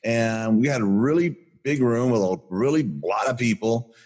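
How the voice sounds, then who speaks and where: An older man with a booming voice, co-hosting a podcast and telling a story in an enthusiastic, rambling way with many restarts. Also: normal speed, darkish tone. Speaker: podcast co-host. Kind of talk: casual rambling chat.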